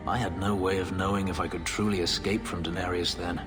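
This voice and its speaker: A man plays a haunted former slave turned elf warrior, his voice very low and darkly brooding. He speaks in measured, formal, clipped sentences, holding himself in check. A loud game score plays under him. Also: level tone, music in the background, close to an everyday voice. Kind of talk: video game cutscene dialogue.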